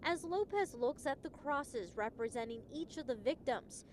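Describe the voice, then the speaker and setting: High-pitched woman reporting TV news. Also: animated, normal speed, a little hiss or hum. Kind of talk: broadcast news reporting, live and scripted. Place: outdoors at a memorial for live reports.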